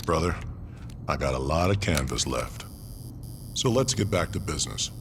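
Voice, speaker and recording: A deep-voiced sneering biker boss. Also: male voice, lively intonation, moderate pace. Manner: sneering, threatening. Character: menacing arrogant male biker gang boss. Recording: game audio with background noise.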